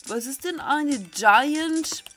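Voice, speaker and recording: German lady doing a let's play, kinda high voice, chatty n a bit sweary, game music going. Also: lively intonation, drawn-out words, fairly bright tone. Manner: chatty, candid, coarse-mouthed. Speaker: gaming video host. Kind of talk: casual let's play commentary, reading game text aloud. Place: indoors, small home recording setup. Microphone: desk microphone close to the mouth.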